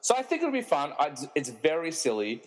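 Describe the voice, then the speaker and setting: A man's voice: fairly high pitch, huge pitch swings, brisk. A man hosting in a casual, chatty way with jokey, self-deprecating asides. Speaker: podcast host. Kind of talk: casual chat. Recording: long echoing room reverb.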